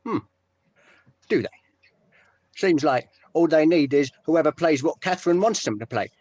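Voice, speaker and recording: A man's voice: a little high, expressive, moderate pace, darkish tone, dry recording. A man playing a wry, needling band member in a script read-through, with a dry, teasing manner.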